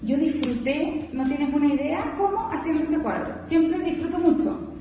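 A Chilean woman in her forties, a painter, reflectively explaining her own painting with soft, hesitant fillers. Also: high-pitched, lively intonation, moderate pace, soft background music. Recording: echoey hall from far off.

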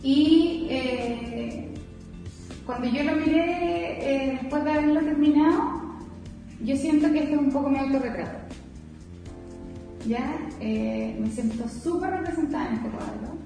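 Chilean woman painter, 40s, gravelly mellow voice, reflective, heard from afar in a roomy hall. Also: high-pitched, unhurried, soft background music. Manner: soft, reflective, many hesitant fillers. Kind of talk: explaining her own painting. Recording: echoey hall from far off.

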